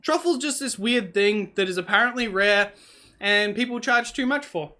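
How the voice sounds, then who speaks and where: Bright-sounding vlogger, hungarian first language, just rambling to camera with lots of um and like. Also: medium pitch, moderate pace. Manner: meandering, musing aloud with many fillers and self-corrections; talks while eating on camera. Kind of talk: casual rambling vlog.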